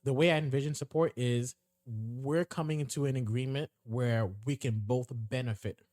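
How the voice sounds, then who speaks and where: Measured young man, dry close mic. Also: medium pitch, fairly even intonation, a little mellow, dry recording. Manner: measured, lays out examples step by step. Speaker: podcast co-host. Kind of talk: casual discussion.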